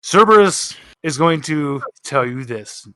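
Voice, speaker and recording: A man's voice: a little high, expressive, slow pace, sharp tone, clear, resonant tone, no echo. A man narrating a tabletop role-playing game as game master, improvising descriptions for the players.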